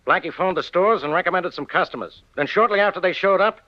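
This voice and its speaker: Man playing a fast, brusque 1940s radio inspector. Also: high voice, close to an everyday voice. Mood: accusing, sardonic. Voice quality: blunt, badgering delivery. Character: brusque, suspicious, sarcastic male police inspector. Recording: muffled 1940s radio recording.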